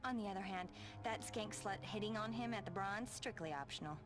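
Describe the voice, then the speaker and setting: A woman's voice: medium pitch, brisk, close to an everyday voice. A woman as a bossy, catty teenage girl. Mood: demanding, catty. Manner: demanding, catty. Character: bossy, self-absorbed teenage cheerleader type. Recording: music over the voice.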